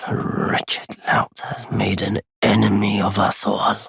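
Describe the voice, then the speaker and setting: An actor playing a weary, courteous wandering merchant in a video game, with a husky, breathy, gravelly voice and measured, formal speech. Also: male voice, lowish voice, monotone, dry recording, studio quality, full character voice. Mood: grave, menacing. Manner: measured, hushed, formal wording. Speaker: merchant character. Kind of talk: dramatic video game voice acting.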